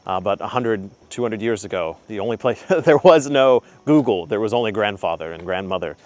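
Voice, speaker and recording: A young man speaks in a fairly low voice, sharing heartfelt advice with an earnest, unpolished warmth of conviction. He pauses often on ums and uhs as he thinks aloud. The recording is close and dry. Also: animated, no room sound. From United States. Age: young adult. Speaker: channel host. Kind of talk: casual spiritual advice talk.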